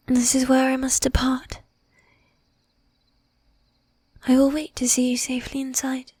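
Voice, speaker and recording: ASMR roleplay: actress as possessive, courtly female vampire, high whispery voice, unhurried, very even melody. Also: no echo, exaggerated voice. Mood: tender, teasing. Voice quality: breathy, whispery. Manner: formal, archaic diction, slow and deliberate. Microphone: close microphone, dry.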